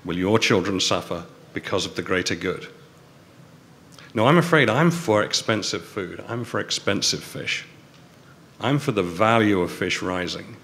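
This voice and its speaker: Emphatic low-voiced man, faint hiss. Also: lively intonation, moderate pace. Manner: forceful, emphatic repetition. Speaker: panelist, restaurateur. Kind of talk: panel debate speech.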